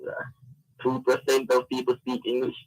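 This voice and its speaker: A man's voice: mid-range voice, monotone, normal speed, faint music behind, low-quality recording. A man talking casually as an interview guest, often dropping in "you know". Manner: conversational, frequent "you know". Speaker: interview guest, event organiser.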